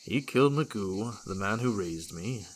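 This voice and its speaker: A man's voice: fairly low pitch, lively intonation, normal speed, a little hiss or hum, close to an everyday voice. A man playing an earnest, sensible archer hero who is a little bumbling with words, in a fantasy audio drama.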